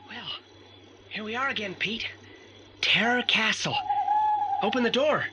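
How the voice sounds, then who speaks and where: A man's voice: high voice, expressive, a little slow. Pompous teenage boy detective. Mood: hushed, purposeful. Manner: formal, pedantic, wordy. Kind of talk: 1980s audio drama acting. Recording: slightly noisy old audio play transfer.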